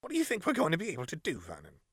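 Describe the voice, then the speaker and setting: A man voicing a tense, weary middle-aged aunt in an audiobook, speaking in a hushed, terse way. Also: on the high side, huge pitch swings, very fast, husky, no echo, studio quality, pitched above the performer's own voice, full character voice.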